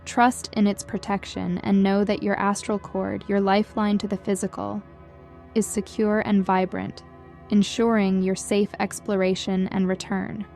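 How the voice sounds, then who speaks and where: Soothing lady doing guided meditation voiceover, mid pitched, slow careful delivery, music playing behind her. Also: level tone. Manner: gentle, soothing, measured delivery. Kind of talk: scripted guided meditation narration. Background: background music.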